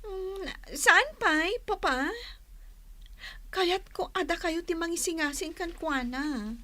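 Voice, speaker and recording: A woman's voice: very high-pitched, takes it slowly, bright, forceful tone, no room sound, exaggerated voice. A woman playing a lovesick young daughter in an Ilocano radio drama, coaxing and whining with an Ilocano accent. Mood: pleading.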